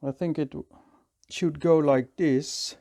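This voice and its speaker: A man with a Dutch accent, calmly thinking aloud as he works through a hands-on repair tutorial. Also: fairly high pitch, slow. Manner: calm, thinking aloud while working. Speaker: repair technician.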